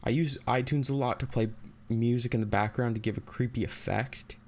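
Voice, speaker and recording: A man hosting a casual, unscripted software tutorial, thinking aloud in a halting way as he goes. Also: medium pitch, steady tone.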